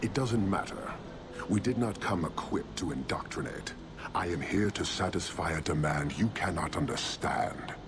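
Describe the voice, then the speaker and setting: An actor playing a stern, proud giant military commander in a video game, speaking in a grave, formal, declamatory way. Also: male voice, low voice, normal speed, background noise, close to an everyday voice.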